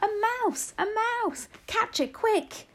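A woman voicing excitable male kitchen workers in a storybook, shouting urgently. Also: extremely high pitch, takes it slowly, pitched above the performer's own voice, heavily acted. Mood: alarmed.

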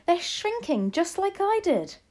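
A woman voicing an eager, curious young boy who is now a mouse, urgent and excited, in a storybook. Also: very high-pitched, measured pace, voice pushed up, heavily acted. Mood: excited wonder.

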